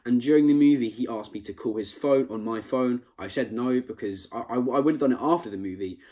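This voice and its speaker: A man's voice: mid-range voice, a little fast, warm tone. A young man with a London accent talking casually and emphatically, with some swearing, as an amateur film reviewer in a vlog.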